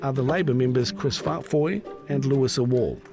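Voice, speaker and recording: A man narrating a documentary in a measured, even scripted delivery. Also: mid-range voice. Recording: narration with clear music behind.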